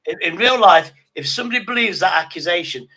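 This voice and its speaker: A man's voice: sits high, lively intonation, fast talker, sharp tone, low-quality recording. A man in his sixties chatting casually on a livestream, emphatic and often repeating his phrases. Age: 60s.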